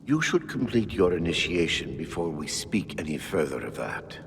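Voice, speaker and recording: Stern low-voiced cult warden. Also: male voice, big pitch swings, moderate pace, slight background noise. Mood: stern, ceremonious. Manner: formal, commanding, ceremonious. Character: stern male warden of a demonic cult's shrine. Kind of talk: video game character acting. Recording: long hall reverb, faint music behind.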